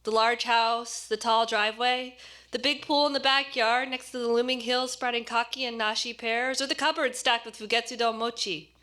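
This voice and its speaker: A woman actor reading a short story aloud as expressive scripted narration. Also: a little high, moderate pace.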